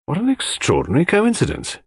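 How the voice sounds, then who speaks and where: A man voicing an anxious, bewildered gentleman of the house, polite and excitable, in an audiobook mystery. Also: high-pitched, brisk, breathy edge, dry recording, studio quality, pitched above the performer's own voice, heavily acted. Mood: bewildered, shaken.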